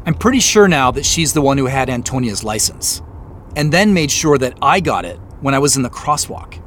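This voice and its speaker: An anxious amateur sleuth in an audio drama. His mid-pitched voice moves around a lot, conversational and a little hesitant, earnest and stubborn. A faint vehicle hum sits behind him. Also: very animated, moderate pace, fairly bright tone. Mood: tense, insistent. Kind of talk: naturalistic audio drama acting.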